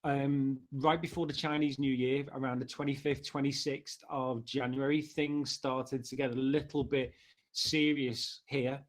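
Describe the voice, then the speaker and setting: A man's voice: mid-range voice, flat delivery, a little slow. A man from Liverpool with a Liverpool accent, talking casually as an interview guest. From Liverpool, England. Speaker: interview guest, marketing director.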